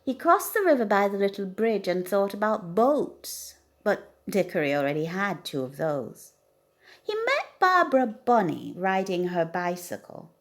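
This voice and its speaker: A woman narrates a children's storybook at bedtime. Her mid-pitched voice moves expressively up and down, with very animated intonation throughout. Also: a little slow. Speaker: storybook reader.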